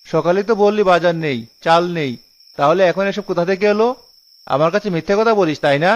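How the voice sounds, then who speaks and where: A man's voice: high voice, quick pace, close to an everyday voice. A man voicing a stingy, scolding village husband in a cartoon, dramatic and full of complaint. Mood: grumbling, suspicious. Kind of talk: cartoon dialogue. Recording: dull lo-fi audio with music behind.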